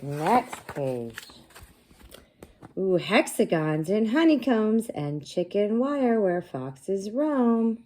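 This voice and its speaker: A woman with a low, soft-edged, mellow voice reads a children's book aloud at a slow pace. She teaches as she goes, explaining in simple words and putting questions to her young listeners. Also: slight background noise.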